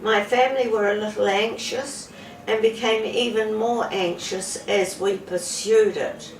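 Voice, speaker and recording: A woman in her seventies from New Zealand, giving an unscripted testimonial to camera as a patient in an indoor room, speaking slowly with pauses and fillers. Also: medium pitch, steady tone. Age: 70s. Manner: slow, measured, with pauses and fillers. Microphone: camera mic from a few feet away. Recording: roomy, distant camera audio with background hiss.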